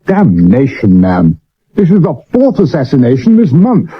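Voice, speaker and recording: A husky, blustering Victorian police chief on dull old radio audio. His voice leaps about as he barks clipped orders, imperious in the manner of a radio play. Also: medium pitch, huge pitch swings, a little slow, exaggerated voice. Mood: agitated, commanding. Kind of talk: 1970s radio drama acting. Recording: dull old medium-wave radio recording.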